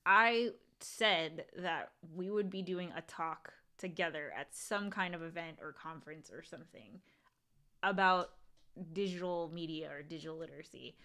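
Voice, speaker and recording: A woman co-hosting a casual livestream podcast, talking in an animated way with lots of fillers and a strong, carrying voice. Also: lowish voice, clear, resonant tone. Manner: animated, thinks aloud with many "like" fillers. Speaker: co-host.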